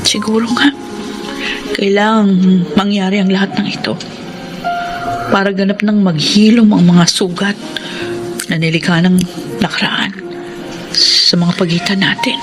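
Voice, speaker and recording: A woman's voice: mid-range voice, steady tone, a little slow, pauses often, lightly acted. Determined, anguished mother played by a woman, Filipino radio serial, mid-range female voice over music. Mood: solemn, resigned. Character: anguished, determined young mother seeking justice. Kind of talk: Filipino radio drama acting. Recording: music behind the voice.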